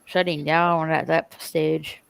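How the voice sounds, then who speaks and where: A woman's voice: low voice, little pitch movement, slow, creaky, smooth. A woman guest on a livestream, talking hesitantly with lots of fillers. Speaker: guest content creator. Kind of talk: casual livestream chat.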